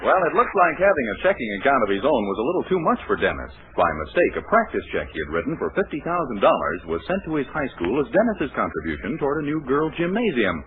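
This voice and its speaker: Fast, very animated male radio announcer. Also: medium pitch. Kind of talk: 1940s radio comedy narration. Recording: noisy, tinny 1940s radio recording.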